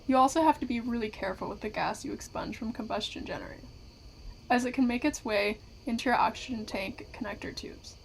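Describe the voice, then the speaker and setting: An actress playing a curious, courteous investigative podcaster in an audio drama, speaking conversationally. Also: female voice, on the high side, a little fast, creaky, slight background noise, low-quality recording. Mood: wry, explanatory. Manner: courteous, conversational, addresses listeners directly. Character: curious, polite female investigative podcaster among zombie fighters.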